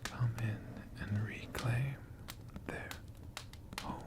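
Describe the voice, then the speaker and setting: A man in a friendly dragon persona, telling stories in a slow, hushed ASMR whisper with playful asides to the listener. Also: medium pitch, fairly even intonation.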